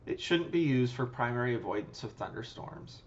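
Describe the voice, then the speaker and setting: A man narrating a scripted flight review as a pilot and host, in a measured, matter-of-fact, technical way. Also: medium pitch, animated, muffled recording.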